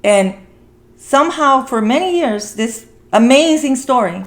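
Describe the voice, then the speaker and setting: A woman's voice: high voice, animated, unhurried. Earnest woman hosting a casual talk. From Mexican heritage. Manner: earnest, repeats phrases for emphasis. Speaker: talk show host. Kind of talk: casual movie review discussion.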